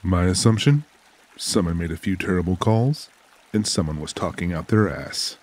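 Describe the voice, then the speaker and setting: Weathered older boatman, acted by a man, low voice, wry and protective, deadpan audiobook drama. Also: moderate pace. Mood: wry, wary.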